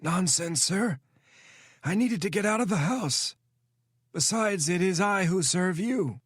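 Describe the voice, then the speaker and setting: A frail old spy chief in his eighties, voiced by a man, pitched well above the narrator's usual deep tone. He speaks in a formal, measured and deferential way, with a thin, oddly different timbre. The sound is dry and close. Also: expressive, breathy edge, dry recording, full character voice. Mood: quiet, resigned. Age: 80s. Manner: formal and measured, keeps saying sir.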